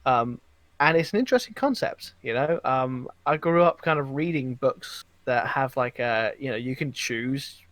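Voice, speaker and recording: A man's voice: mid-range voice, expressive, moderate pace, a bit bright, no echo. Hesitant man on a dry, close recording. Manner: hesitant, searching for words. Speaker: podcast co-host. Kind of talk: casual chat.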